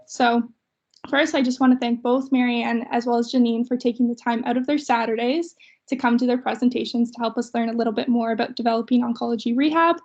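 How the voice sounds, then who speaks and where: Higher-voiced young Canadian woman, dull audio. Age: young adult. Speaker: student host opening an online session. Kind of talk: introductory hosting of a webinar. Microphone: video call. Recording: dull video call audio.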